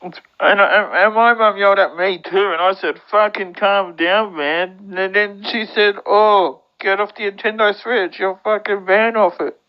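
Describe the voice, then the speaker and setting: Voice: mid-range voice, steady tone, measured pace, hardly any pauses, smooth. Upset young adult venting on muffled radio. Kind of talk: casual venting over radio. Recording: dull lo-fi walkie-talkie audio.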